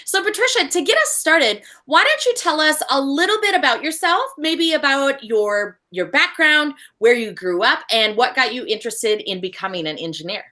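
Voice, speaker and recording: An upbeat woman hosts a live online session, welcoming guests and encouraging them. Her pitch swings widely and sits a little high. Her tone is bright and forward. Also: expressive, moderate pace, hardly any pauses. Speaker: host. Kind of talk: live webinar hosting.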